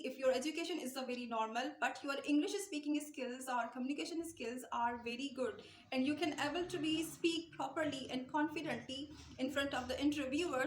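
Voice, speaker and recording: A woman's voice: high voice, roomy sound, a little hiss or hum. A young Pakistani woman with a Pakistani accent gives an earnest English-speaking lesson straight to camera, repeating her points and gesturing with her hands. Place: small indoor room, light wall behind.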